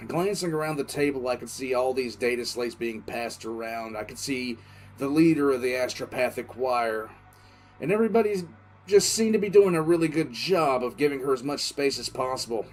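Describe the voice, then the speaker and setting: A man playing a war-weary military commissar, recounting a crisis in halting, emphatic audio drama narration. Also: medium pitch, darkish tone, natural voice. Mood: grim, reflective. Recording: music under the voice.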